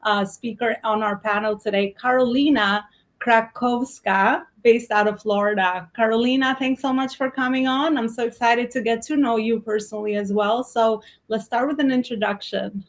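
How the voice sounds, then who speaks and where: A woman's voice: fairly high pitch, fairly even intonation, normal speed. A woman hosting a conversational panel interview, talking emphatically about business and often repeating phrases for effect. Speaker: host of a panel interview show.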